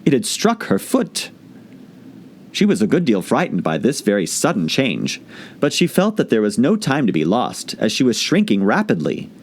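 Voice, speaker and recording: A man reading scripted storybook narration as an audiobook reader, expressive and theatrical in his delivery. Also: medium pitch, moderate pace.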